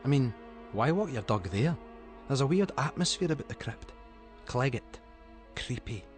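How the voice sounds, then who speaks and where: A man's voice: medium pitch, animated, normal speed, soft background music. A man with a Scottish accent giving a dramatic, suspenseful narration of a story in colloquial Scots dialect.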